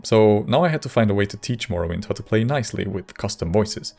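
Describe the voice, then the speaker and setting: A man giving scripted video narration in a measured way with dry, wry humour. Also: on the low side, lively intonation, creaky, a little mellow. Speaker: video creator and modder. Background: faint music.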